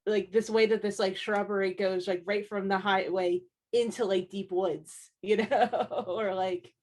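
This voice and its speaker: A woman's voice: medium pitch, steady tone, unhurried, a little mellow. A woman artist from the American East Coast talking casually on a panel, chatty and full of fillers. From United States East Coast. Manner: chatty, heavy use of "like" and "um". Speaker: comic artist.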